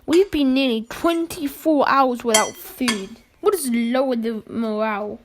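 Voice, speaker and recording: A young woman playing a brisk, wry adventurer who takes charge in an amateur radio mystery, with clipped, old-fashioned phrasing. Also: on the high side, background noise, lightly acted. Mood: wry, reasoning. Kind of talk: amateur radio play acting.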